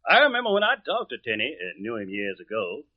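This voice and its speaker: Musing witness, hesitant, animated intonation, dull vintage radio recording. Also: male voice, medium pitch, fast talker. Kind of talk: 1950s radio drama acting.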